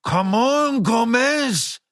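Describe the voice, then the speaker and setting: Narrator doing a terse menacing killer, slow, lively, dry close voice. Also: male voice, very high-pitched, no echo, pitched above the performer's own voice, full character voice. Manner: terse, quietly threatening. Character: cold menacing male gunman.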